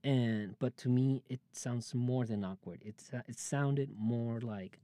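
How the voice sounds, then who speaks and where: Young male podcaster, late 20s, dark low voice, confessional rambling chat, dry close sound. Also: unhurried, no echo. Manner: rambling, confessional, many fillers and restarts. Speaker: podcast host. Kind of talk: casual personal monologue.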